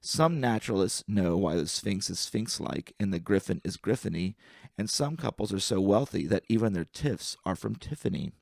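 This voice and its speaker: Low, gravelly man reading verse aloud. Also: animated, normal speed, a little mellow, no echo, studio quality. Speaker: amateur reader of poetry.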